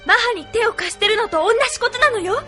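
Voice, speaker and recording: A woman's voice: very high voice, quick pace, pushed and bright, soft background music. An actress playing an earnest, headstrong and loyal young resistance woman in a Japanese anime audio drama, pleading and insistent. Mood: indignant.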